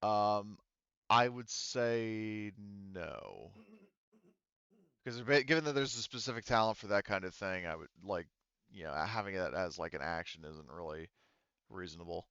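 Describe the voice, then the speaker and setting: A man acting as game master of a tabletop roleplaying game, explaining rules haltingly as he thinks aloud. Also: on the low side, animated, fairly bright tone, smooth, no room sound, muffled recording.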